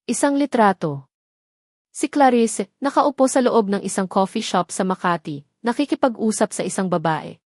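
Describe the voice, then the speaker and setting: A woman storyteller narrates a scripted romance in a dramatic style. Her voice sits at a medium pitch. The sound is dry, with no noticeable room. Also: moderate pace, darkish tone, no echo.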